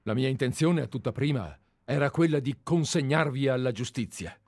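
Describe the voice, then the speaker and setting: A man's voice: medium pitch, moderate pace, darkish tone, no echo, clean studio sound. A man reading aloud as an obsessive, deferential admirer who has tracked a writer for years, in a dramatized radio play reading. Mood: obsequious. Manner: earnest, deferential, methodical. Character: obsessive, fawning male admirer-sleuth. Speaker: reader.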